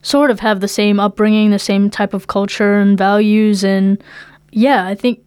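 A woman's voice: medium pitch, flat delivery, moderate pace, a little mellow, clean tone, dry recording, studio quality. A young woman with a New Zealand accent, talking informally and with slang in a casual interview as a musician guest. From Philippines, moved to New Zealand at eight.

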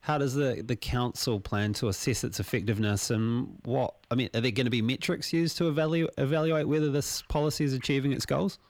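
A man's voice: medium pitch, a little fast, few pauses, no room sound. A man with a New Zealand accent hosting a radio interview, asking questions conversationally with hesitant fillers. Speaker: radio host.

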